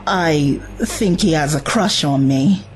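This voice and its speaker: A woman's voice: low-pitched, slow pace, a little hiss or hum, poor audio. A woman playing a wary, resolute young companion in an audio drama, firm and urgent. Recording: music under the voice.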